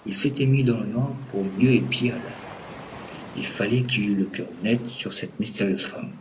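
A man narrating a scripted audio drama as a storyteller, in measured short phrases. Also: on the low side, a little hiss or hum, low-quality recording. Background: music.

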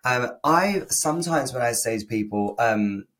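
A man's voice: mid-range voice, expressive, normal speed, fairly bright tone. A man hosting a casual interview, speaking politely and tentatively, with rambling questions. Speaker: podcast host.

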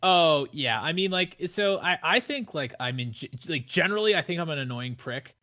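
A man from the Midwest hosting a casual podcast, with a nasal voice, rambling and excitable, rising high when he gets worked up. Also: moderate pace. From American Midwest. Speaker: podcast host.

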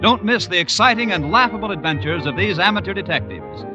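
A man's voice: high voice, sing-song, fast talker, dull audio, over music. A man doing polished, emphatic 1940s-style radio announcing and scripted sales copy.

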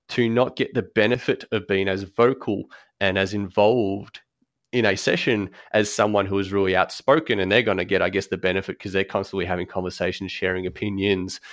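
A man talks through accessible design at an easy podcast pace, pausing on ums and restarting his thoughts. His voice sits fairly low, and the recording is dry and close with little room sound. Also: dry recording. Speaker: guest expert on accessible design. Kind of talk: conversational podcast explanation.

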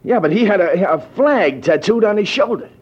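A man's voice: high-pitched, expressive, normal speed, husky. An actor playing a cornered, nervous small-time young man, hesitant and defensive, in an old-time radio drama. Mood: frightened, evasive. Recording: dull, lo-fi old radio recording.

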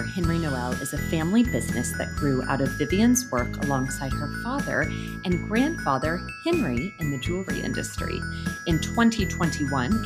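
A young adult woman hosting a podcast interview, reading an introduction and reacting with upbeat, effusive enthusiasm. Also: sits low. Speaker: podcast host.

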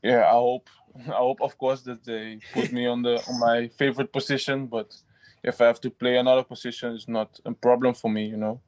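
Halting footballer man, flat voice. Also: mid-range voice, dry recording, rough recording. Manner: halting, restarts often, simple phrasing. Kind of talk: interview answers.

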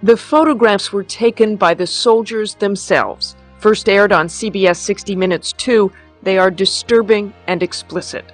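A woman reads a scripted news report in a mid-pitched voice. Her phrasing is grave and measured out item by item, with faint music underneath.

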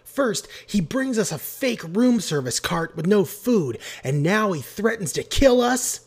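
A brash woman character performed by a man in an audiobook. The voice is pushed higher and louder than plain narration, with blunt, exclamatory complaining. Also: expressive, a little fast, husky. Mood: indignant.

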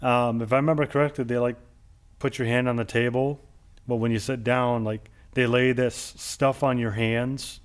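A man's voice: medium pitch, little pitch movement, normal speed. Rambling, chatty male interview host. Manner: rambling, reminiscing with many "like"s. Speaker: show host. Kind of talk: casual interview chat.

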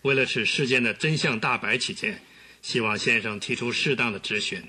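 Officious prosecutor in a radio play, super sharp forceful voice, bit raspy, formal. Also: male voice, mid-range voice, normal speed. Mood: formal, brisk. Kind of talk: radio drama acting.

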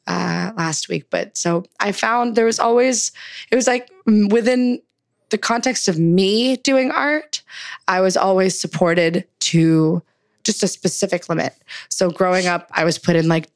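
A woman's voice: on the low side, creaky, dry recording, studio quality. A young woman from Canada, a guest talking casually in an interview with plenty of "like" and "um". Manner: chatty, many fillers like "like" and "um". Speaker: guest, writer.

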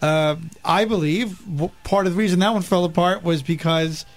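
A man's voice: high-pitched, moderate pace, soft background music. A man in his fifties chatting casually as a podcast co-host, explaining things in a conversational way. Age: 50s. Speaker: podcast co-host, regular guest. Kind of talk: casual chat. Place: radio studio.

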